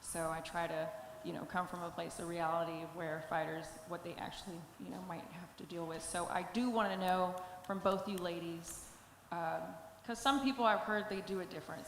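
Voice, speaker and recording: A woman's voice: on the low side, steady tone, brisk, hardly any pauses, fairly bright tone. A woman interviewer asking fighters casual, enthusiastic questions at a press conference. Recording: echoey hall, faint noise.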